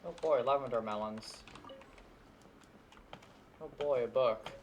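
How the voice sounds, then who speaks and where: A man's voice: medium pitch, slow, slight background noise. A man giving casual, offhand live commentary while streaming a video game playthrough.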